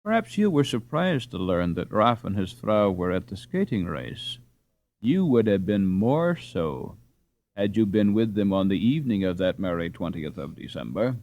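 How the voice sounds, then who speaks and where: A man reading a classic children's story aloud as an audiobook narrator, with expressive, dramatic delivery. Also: on the low side, moderate pace. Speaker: audiobook reader.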